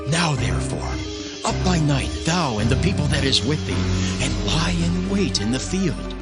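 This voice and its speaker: A man acts out a scheming, angry ruler in a biblical audio drama. His voice is fairly high and very animated, urgent and emphatic. Music is clearly heard behind it. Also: unhurried. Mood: urgent, conspiratorial. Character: angry scheming city ruler urging an ambush. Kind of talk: dramatized Bible reading.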